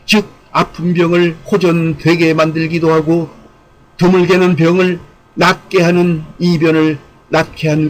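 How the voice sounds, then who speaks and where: A man's voice: a little high, poor audio. A man reading scripted commentary aloud in a formal, earnest, exhortatory way, as the host of an online channel.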